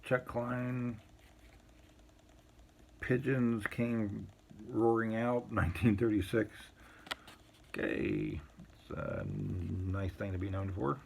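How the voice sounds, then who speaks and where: A man casually showing a collection on an unscripted video, reading items out in short, halting phrases with muttered asides. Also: on the low side, steady tone, creaky, a little mellow, a little hiss or hum. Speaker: collector showing vintage baseball cards. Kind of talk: casual unscripted show-and-tell, reading out cards.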